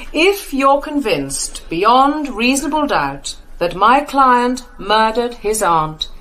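An actress playing a formal, persuasive British defence barrister addressing a jury in a radio drama. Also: female voice, fairly high pitch, a bit bright, slight background noise, low-quality recording. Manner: formal, deliberate.

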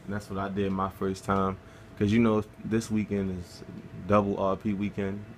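A man's voice: fairly low pitch, completely flat delivery, normal speed, slight background noise. A man casually talking through a game walkthrough, laid-back and swearing freely as he plays. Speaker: gameplay guide narrator.

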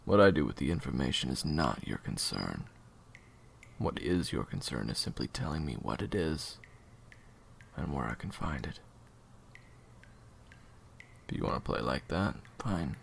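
A man playing a cold, short-tempered assassin interrogator in an audio drama, husky, with clipped, deliberate threats. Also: extremely low pitch, big pitch swings, slight background noise, exaggerated voice. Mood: demanding. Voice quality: husky, gravelly. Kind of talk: audio roleplay acting.

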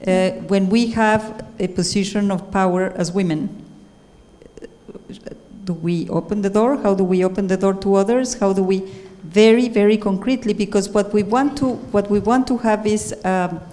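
A woman's voice: medium pitch, normal speed, mellow, big-room sound. A woman moderating a panel discussion, enthusiastic but hesitant, filling her sentences with many fillers, speaking into a handheld mic on stage. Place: theater stage at a panel event.

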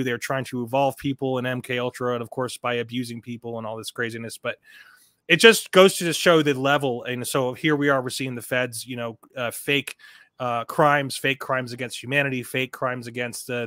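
A man's voice: mid-range voice, fairly bright tone, no echo, studio quality. A middle-aged man hosting a livestream, giving casual commentary in an emphatic, rambling way and often repeating his phrases. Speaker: livestream host. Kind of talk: casual commentary on a livestream, reading articles aloud.

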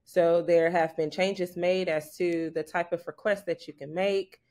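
A woman's voice: fairly low pitch, level tone, a little slow, a little mellow. A woman from Houston, an associate attorney, answering interview questions over a video call from her office, chatty with frequent ums. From Houston, Texas. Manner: conversational, frequent ums, light laughs. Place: office with framed diplomas, indoors. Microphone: video call webcam.

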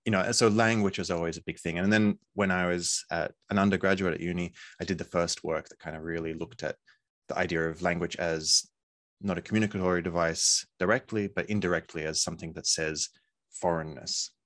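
A man in his forties from Poland, talking over a video call in a hesitant, thoughtful way with many pauses. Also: low-pitched, fairly even intonation, fairly bright tone, dry recording, clean studio sound. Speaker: artist. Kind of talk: casual interview. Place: home room, video call.

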